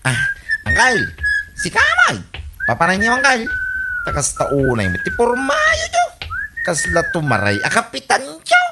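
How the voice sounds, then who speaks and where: A woman voicing a teasing young relative who mocks an uncle, in a shrill, giggly voice. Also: huge pitch swings, a little slow, exaggerated voice. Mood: mocking. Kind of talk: comedy radio drama acting. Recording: loud noisy background hiss.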